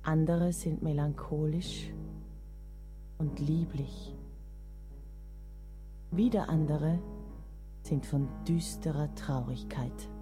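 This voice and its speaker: An actress in a radio play playing a composer's composed companion, recounting memories in a measured, solemn, literary way. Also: female voice, low voice, mellow, faint music behind. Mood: solemn, reflective. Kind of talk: scripted radio play narration.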